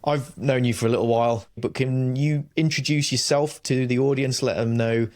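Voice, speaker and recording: Male podcast host, mid-pitched voice, friendly interviewer. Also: steady tone, moderate pace, a little mellow. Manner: friendly, conversational questioning.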